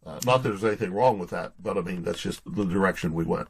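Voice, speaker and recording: A man's voice: low-pitched, fast talker, a little hiss or hum. A man speaking as a guest author in an interview, conversational, often restarting and repeating his words as he goes.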